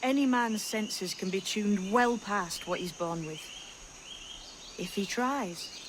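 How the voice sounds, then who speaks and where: A woman playing a wise, secretive young sailor in a video game cutscene, speaking in a measured way with hushed phrase endings. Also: mid-range voice, noisy background, natural voice. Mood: reverent, gentle.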